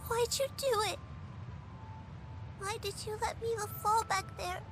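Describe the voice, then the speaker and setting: A woman's voice: very high voice, steady tone, measured pace, noisy background. A woman voicing a bratty, hurt little girl in an anime game, blunt and accusing. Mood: upset, accusing.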